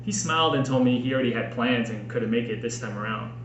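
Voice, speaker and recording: An American man with a medium-pitched voice reads personal writing in the style of a homemade audiobook. He is earnest and expressive, setting out his phrases with care. The room echoes noticeably around him, with a faint noise underneath. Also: fast. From Minnesota, United States. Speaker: podcast host and author reading own book. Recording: roomy echo with faint background noise.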